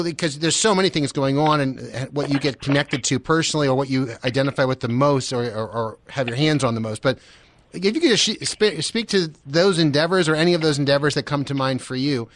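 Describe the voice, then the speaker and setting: A man's voice: fairly high pitch, a bit bright, no room sound. American male radio host, dry close sound. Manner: halting, rambling questions. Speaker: radio station host interviewing. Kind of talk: casual radio interview.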